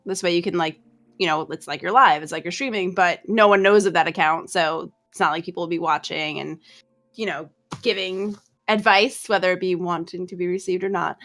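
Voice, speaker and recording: A woman's voice: lowish voice, fairly bright tone. A woman guest on a podcast, chatty and earnest, sharing advice with many ums and likes. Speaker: streamer guest. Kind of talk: casual advice podcast chat.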